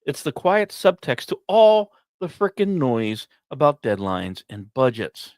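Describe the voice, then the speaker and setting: A man's voice: medium pitch, very animated, a little mellow, dry recording. Man narrating a podcast dramatically, dry close sound. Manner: dramatic storytelling with pauses and wry irreverent asides. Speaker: podcast host. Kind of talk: scripted narration with casual asides.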